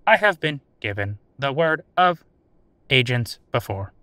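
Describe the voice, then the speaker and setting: Man acting as stilted old computer AI, slow-spoken. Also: mid-range voice, very animated, bright, forceful tone, dry recording. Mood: insistent. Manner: stilted, formal, deliberate phrasing without contractions. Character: old sentient AI computer, formal, literal, dryly attempting humor. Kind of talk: scripted audio drama interview.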